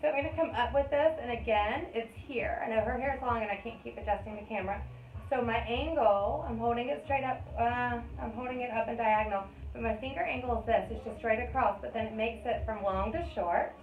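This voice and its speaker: A woman in her thirties, a hairstylist, talks through each cut in a chatty teaching voice, a little higher than most. She is recorded on a phone in an echoey salon, and the sound is thin and phone-like with a faint hiss behind it. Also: fairly quick. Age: 30s. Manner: chatty, explains step by step while working. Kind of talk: hair-cutting tutorial. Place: hair salon. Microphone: phone camera.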